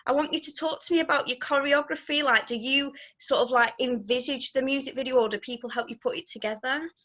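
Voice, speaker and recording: A woman interviewer with a Yorkshire accent and a fairly high, bright voice. She is chatty and effusive, putting questions in a conversational way. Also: quick pace, lo-fi sound.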